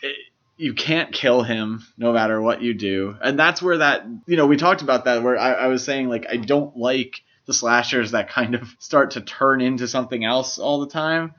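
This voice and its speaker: A man's voice: medium pitch, moderate pace, fairly bright tone, dull audio. A man co-hosting a podcast, chatting casually about films in a jokey, opinionated way. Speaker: podcast co-host. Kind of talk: casual film discussion.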